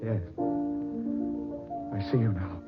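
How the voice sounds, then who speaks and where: An actor playing a guilt-ridden, haunted murderer in a halting, anguished old-time radio drama style. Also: male voice, on the low side, steady tone. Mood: fearful disbelief. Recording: muffled vintage radio recording with music.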